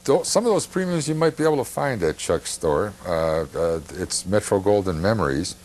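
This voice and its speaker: A man hosting a show in casual, halting chat, often repeating himself. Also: fairly low pitch, animated, darkish tone. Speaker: TV show host.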